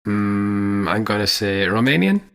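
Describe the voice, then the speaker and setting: A man's voice: fairly low pitch, expressive, unhurried, gravelly, fairly bright tone. A man co-hosting a podcast, chatting casually in a dry, teasing way and laughing often. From Ireland. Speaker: podcast co-host. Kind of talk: casual chat.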